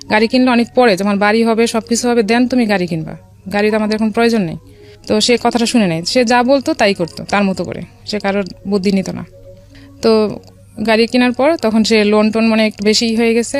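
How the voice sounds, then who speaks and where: Fast-talking Bangladeshi woman telling her story. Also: medium pitch. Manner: conversational, mixes in some English words. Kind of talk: personal storytelling in a radio interview. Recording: music behind the voice. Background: background music.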